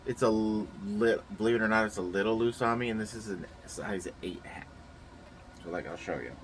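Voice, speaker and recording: A man's voice: lowish voice, moderate pace, background noise. A young man chatting casually through his daily vlog plans, a little nasal and rambling with lots of fillers. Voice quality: slightly nasal. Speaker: vlogger.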